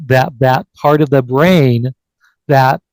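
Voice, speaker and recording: Male panel host, mid-range pitch, conversational delivery, dry close sound on a video call. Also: slow, a little mellow, no room sound. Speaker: podcast host. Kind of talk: live online panel conversation.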